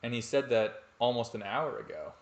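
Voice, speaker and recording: A young man talks through his game stream in a loose, rambling way, often poking fun at himself and swearing freely. His voice sits at an average pitch for a man. The room echoes noticeably around him. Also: normal speed, muffled recording. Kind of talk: casual live-stream commentary. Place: home room at night.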